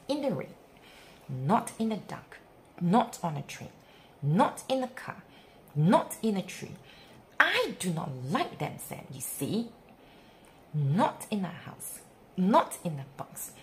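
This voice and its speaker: A woman reading a rhyming picture book aloud for young children in an expressive, theatrical, sing-song way with emphatic pauses. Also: on the low side, a little hiss or hum. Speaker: children's story reader.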